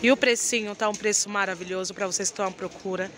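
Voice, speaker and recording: A woman's voice: medium pitch, steady tone, fast, a bit bright. A woman with a Brazilian Portuguese accent hosting a shopping vlog, praising clothes in an enthusiastic sales patter. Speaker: vlog host showing market clothes. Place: busy night street market outdoors. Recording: noisy street with traffic. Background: street and traffic noise.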